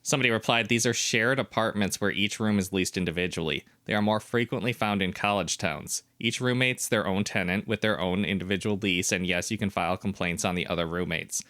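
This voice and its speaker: A man's voice: fairly low pitch, a little fast, few pauses, bright, forceful tone, no room sound, clean studio sound. A man co-hosting a podcast, reading comments aloud and asking pointed clarifying questions in casual chat. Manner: inquisitive, asks clarifying questions, opinionated. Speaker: podcast co-host.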